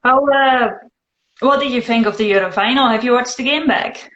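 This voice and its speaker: A Dutch woman with a Scottish-tinged English accent, hosting a live video chat in a relaxed, dry, teasing way. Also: on the high side, normal speed, slight background noise. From Netherlands. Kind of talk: casual chat. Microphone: phone. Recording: echoey room, muffled phone audio.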